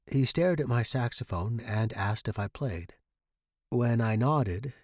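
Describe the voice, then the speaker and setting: A narrator giving an expressive, theatrical first-person reading of fiction. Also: medium pitch, no echo, clean studio sound. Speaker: story narrator.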